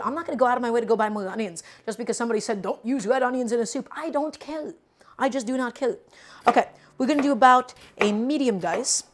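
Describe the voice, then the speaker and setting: A woman in her twenties hosting a casual cooking livestream, teasing her viewers and explaining each step while she chops. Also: on the high side. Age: 20s. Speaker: cooking streamer.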